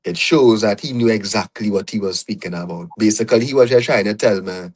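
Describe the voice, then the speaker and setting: A man's voice: on the low side, fairly quick. A young man with a Trinidadian accent, a podcast guest reflecting on his career in a casual interview, often adding "you know".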